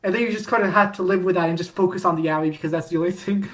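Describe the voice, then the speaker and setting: A woman chatting as a podcast guest, rambling with many restarts and sudden bursts of excitement. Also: on the low side, racing. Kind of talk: casual podcast chat.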